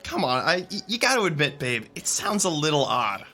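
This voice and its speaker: A man's voice: on the high side, very animated, a little fast, sharp tone, faint music behind, heavily acted. A man playing a joking, friendly theater crew member who tells funny stories about coworkers. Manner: teasing storyteller. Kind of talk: radio drama acting.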